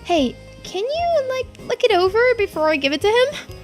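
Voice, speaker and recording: Casting: woman playing animated teen girl for a video game, very high, swooping voice, casual and blurting, music behind. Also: moderate pace. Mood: nervous. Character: excitable teenage girl diver with a secret crush. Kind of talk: video game character voice-over.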